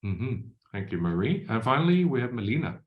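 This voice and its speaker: A man's voice: medium pitch, big pitch swings, fairly quick, narrow, tinny audio. A man moderating an online university Q&A over a video call, with many hesitations and fillers. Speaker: webinar moderator. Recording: roomy echo.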